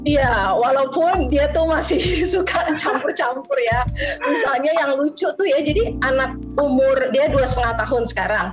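A woman's voice: sits high, moderate pace, music in the background. A woman in her thirties from Jakarta with a slight Betawi accent, talking casually as an interview guest and mixing in English words. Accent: Betawi-tinged Jakarta Indonesian. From Jakarta, Indonesia. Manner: chatty, mixes in English words, frequent fillers.

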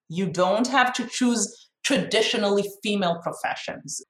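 A woman's voice: on the low side, fairly bright tone. Israeli woman, frank, in an echoey room. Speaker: chief executive being interviewed. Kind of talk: interview answers. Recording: roomy, echoey sound.

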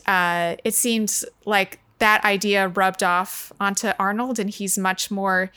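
A woman in an unscripted literary discussion, speaking in a halting, reflective manner. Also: medium pitch, pushed and bright, clean tone. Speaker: book discussion podcast participant.

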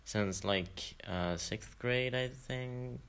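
A man's voice: medium pitch, extremely slow, gravelly, fairly bright tone, clean tone. A young man talking casually on a student podcast, hesitant and often laughing as he trails off. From Sweden. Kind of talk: casual chat.

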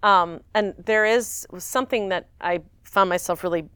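A woman in her forties, an actress guest, talking in a rambling, animated way and laughing often. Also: medium pitch, normal speed, clear, resonant tone, dry recording. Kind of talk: casual interview chat.

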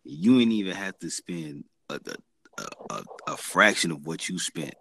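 Laid-back man with a very deep voice. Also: expressive, bright, forceful tone, no echo, studio quality. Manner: laid-back slangy talk, drawn-out fillers. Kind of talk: casual chat.

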